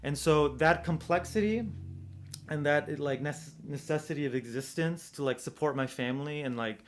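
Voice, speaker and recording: A man's voice: on the high side, moderate pace, a little hiss or hum. A man with an American accent giving an informal academic presentation, thinking aloud in a reflective, hedging way and posing rhetorical questions. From United States, Southern California. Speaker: presenter, domestic violence practitioner.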